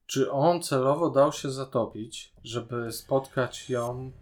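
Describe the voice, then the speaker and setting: Opinionated man, medium pitch. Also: takes it slowly, faint music behind. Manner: opinionated, questioning. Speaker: podcast co-host. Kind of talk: casual discussion of a TV series.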